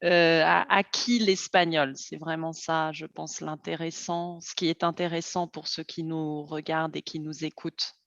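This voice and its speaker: A woman with a lowish voice hosts a slow, carefully paced interview for learners, friendly and full of small hesitations. She sounds as if she is on a video call, with a dull, dry, lo-fi quality. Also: flat delivery, creaky, fairly bright tone, dry recording. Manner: friendly, with frequent hesitations and light laughs. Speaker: host.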